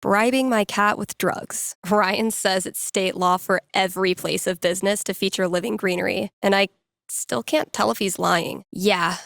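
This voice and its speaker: An actress playing a wry, nerdy young record-store clerk and radio DJ in a video game, muttering to herself in a dry, sardonic, conversational way. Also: female voice, mid-range voice, fairly even intonation, normal speed, creaky, a bit bright, no room sound. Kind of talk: naturalistic video game voice acting.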